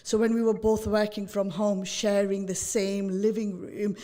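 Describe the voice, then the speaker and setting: Loud, chatty female radio host. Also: mid-range voice, little pitch movement, measured pace. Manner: naturally loud, chatty and candid. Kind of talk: casual radio interview chat.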